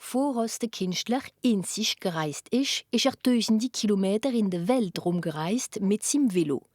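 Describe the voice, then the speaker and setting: Casting: female reporter, even scripted voice-over, dry close sound. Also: medium pitch, moderate pace, no room sound. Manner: even, read-aloud delivery. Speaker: news reporter. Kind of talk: scripted narration.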